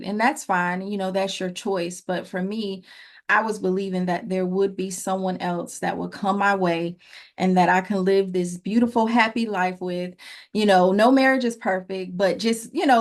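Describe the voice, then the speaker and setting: A middle-aged woman speaking as an interview guest, earnest and reflective, in a personal motivational style with emphasis on key phrases. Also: mid-range voice. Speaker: interview guest, relationship coach.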